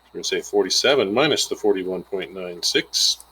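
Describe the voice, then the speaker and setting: A man's voice: low voice, lively intonation, normal speed, sharp tone, a little hiss or hum, poor audio. A man giving an informal, plainspoken lesson, explaining a worked example step by step and thinking aloud as he calculates. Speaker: instructor.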